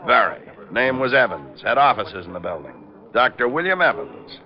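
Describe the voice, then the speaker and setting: An actor playing a brisk, gruff police lieutenant in an old radio drama, terse and official in manner. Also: male voice, medium pitch, very animated, over loud music, natural voice. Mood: sardonic. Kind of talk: 1940s-50s radio detective acting. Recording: noisy, muffled old-time radio recording.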